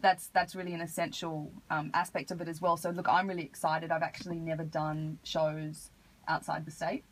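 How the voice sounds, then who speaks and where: An Australian woman with a husky voice, talking casually as an artist being interviewed on radio. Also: low-pitched, flat delivery, a little fast, rough recording. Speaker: interview guest, hip hop artist. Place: radio studio.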